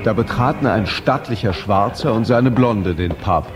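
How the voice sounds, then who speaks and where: A man playing the storyteller of a radio drama, narrating in a measured, precise, literary rhythm. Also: fairly low pitch, loud music behind the voice, lightly acted. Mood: measured, storytelling. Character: male storyteller of an English pub miniature. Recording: crowd chatter behind the voice. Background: pub crowd chatter.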